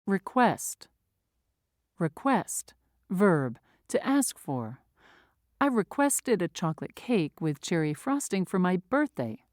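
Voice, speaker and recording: A woman's voice: lowish voice, sing-song, slow pace, darkish tone, no echo, clean studio sound. A woman reading vocabulary words aloud, saying each word twice before its meaning and an example sentence. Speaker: vocabulary reader. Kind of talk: slow, deliberate vocabulary reading.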